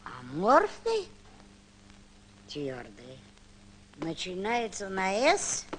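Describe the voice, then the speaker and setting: An actress playing an elderly grandmother in a comic children's film, halting and musing aloud as she puzzles over things. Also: medium pitch, wildly swooping pitch, a bit bright, heavily acted. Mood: musing, puzzled. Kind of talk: comic acting in children's educational newsreel. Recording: noisy old Soviet film soundtrack.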